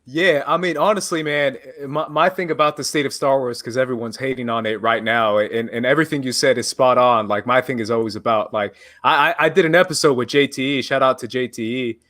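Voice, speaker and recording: Guest, man, late 20s, bright and crisp, laid-back and friendly, dry close sound. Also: medium pitch, a little fast, hardly any pauses, no echo. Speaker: podcast guest. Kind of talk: casual interview answers.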